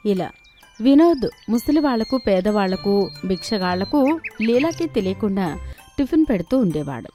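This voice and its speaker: A woman's voice: medium pitch, big pitch swings, normal speed, mellow, faint music behind. A woman storyteller giving scripted narration for a children's cartoon tale.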